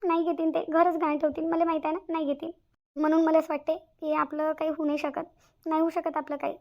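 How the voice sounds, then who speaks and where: A woman's voice: high-pitched, little pitch movement, fast, muffled recording. A woman with a Varhadi Marathi accent voicing a selfless, earnest young bride-to-be in a cartoon family drama. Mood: resigned, anxious. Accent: Varhadi Marathi from Vidarbha. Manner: earnest, repeats phrases emphatically. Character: anxious, selfless young bride-to-be, tenderly devoted.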